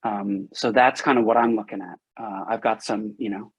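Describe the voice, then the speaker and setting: A man's voice: fairly low pitch, steady tone, moderate pace, slightly rough, rough recording. A man from Hawaii, a prospective student, talking hesitantly with lots of restarts and fillers. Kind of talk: casual video-call chat.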